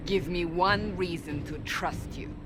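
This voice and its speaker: A woman's voice: lowish voice, measured pace, close to an everyday voice. An actress playing a stern, guarded warrior woman in a video game, blunt, formal and commanding. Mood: grave, wary. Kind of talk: dramatic video game acting. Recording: constant clear background ambience noise.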